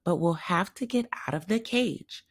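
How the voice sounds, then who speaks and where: Woman as a plucky little hamster girl, lively pitch. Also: moderate pace, husky. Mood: eager. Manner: bold, eager. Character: plucky, confident small female hamster, team leader.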